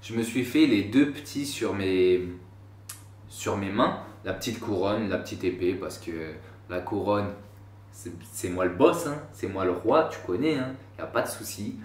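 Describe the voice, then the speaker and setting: Young man, casual vlogger, slangy and rambling; lower voice, roomy sound, mic set back. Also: lively intonation, moderate pace. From France. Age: 20s. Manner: slangy, rambling, self-mocking asides. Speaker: YouTuber. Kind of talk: casual vlog talk to camera. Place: small room indoors. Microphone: camera a few feet away. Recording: roomy, slightly distant sound.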